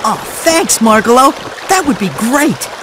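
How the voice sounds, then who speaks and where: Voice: medium pitch, very animated, measured pace, noisy background. An actor voicing a small, friendly, eager boyish mouse in a video game cutscene. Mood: friendly, helpful.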